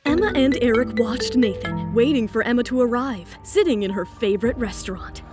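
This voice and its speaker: A woman's voice: a little high, normal speed, over music. A woman narrating a scripted romance audio drama.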